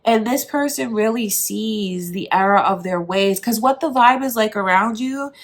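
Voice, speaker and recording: A woman's voice: mid-range voice, normal speed. Stuffy-nosed woman giving a tarot reading. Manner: conversational, reassuring, talks straight to the viewer. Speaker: tarot reader. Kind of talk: casual tarot reading to camera.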